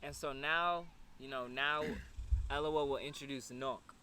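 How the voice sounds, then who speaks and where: Bright-voiced young man hosting an interview. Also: mid-range voice, fairly even intonation, measured pace. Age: 20s. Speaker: interview host. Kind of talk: casual interview chat. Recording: clear background noise.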